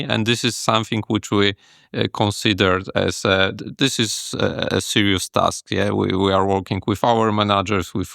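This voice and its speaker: A man whose first language is Polish, a guest speaking in a podcast interview, halting with restarts and tagging sentences with yeah. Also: low voice, gravelly, a bit bright, dry recording, clean studio sound. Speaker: guest manager.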